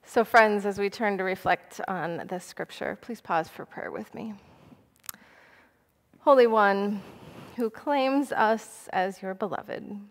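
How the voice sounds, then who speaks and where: An American woman in middle age giving a sermon as pastor, deliberate and phrase by phrase. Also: mid-range voice, creaky. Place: church pulpit indoors. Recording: reverberant church hall.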